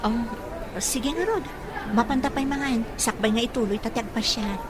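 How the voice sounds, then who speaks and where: A woman's voice: on the high side, moderate pace, a crowd in the background, close to an everyday voice. A woman with a Filipino accent playing a friendly, encouraging young matchmaker in an Ilocano audio drama. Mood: chatty.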